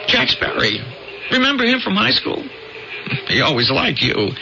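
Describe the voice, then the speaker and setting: An American actor playing an eager, stubborn small-town newspaperman in an old radio drama, with an excitable, stammering delivery. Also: high voice, moderate pace, creaky, exaggerated voice. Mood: teasing, earnest. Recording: muffled, noisy old radio recording.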